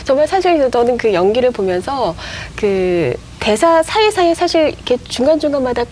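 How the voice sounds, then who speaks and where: A woman hosting a broadcast talk show, asking her guests questions in a measured, polished way. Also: sits high, very animated, darkish tone, a little hiss or hum. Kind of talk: broadcast studio interview. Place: TV studio.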